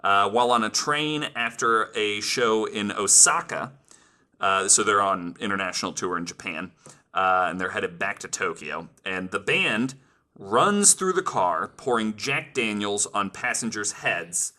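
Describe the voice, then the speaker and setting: A man's voice: fairly low pitch, expressive, a little slow, bright, forceful tone. A man hosting a podcast, reading out researched stories with casual asides and plenty of "like". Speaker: podcast host telling the story.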